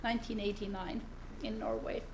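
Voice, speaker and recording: A woman from northern Finland giving a seminar presentation, unhurried and pausing often to search for her words. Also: mid-range voice, a little hiss or hum, rough recording. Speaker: seminar speaker, lawyer. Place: seminar room.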